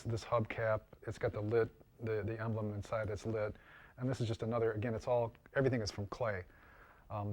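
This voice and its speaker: A man with a fairly low voice and very little melody explains his craft in an informal interview. He speaks haltingly, circling back to repeat and rephrase as he goes. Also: a little mellow. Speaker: interviewed costume and prop maker.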